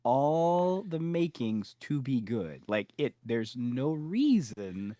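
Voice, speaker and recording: A man's voice: medium pitch, big pitch swings, takes it slowly, dark tone, dry recording. A man co-hosting a podcast, eager and chatty in casual conversation and laughing often. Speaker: podcast co-host. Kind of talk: casual chat.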